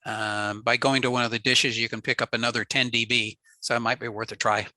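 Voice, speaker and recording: A man presenting ham radio networking on a video call, relaxed and answering questions with frequent fillers. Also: mid-range voice, fairly quick, dry recording. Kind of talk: casual technical talk on a video call.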